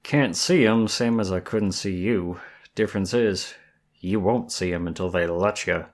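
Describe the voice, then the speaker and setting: A man's voice: sits low, a little slow. A man voicing a flirty, friendly werewolf guide in an ASMR roleplay, with a folksy, teasing Southern US drawl. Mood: calm, ominous. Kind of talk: ASMR roleplay, intimate one-sided dialogue.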